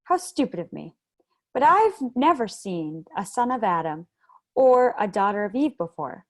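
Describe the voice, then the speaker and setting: A woman's voice: mid-range voice, sing-song, a little slow. A woman reading aloud, voicing a polite, fussy male faun who talks in an eager, formal way. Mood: courtly, eager. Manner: eager, formal, slightly flustered.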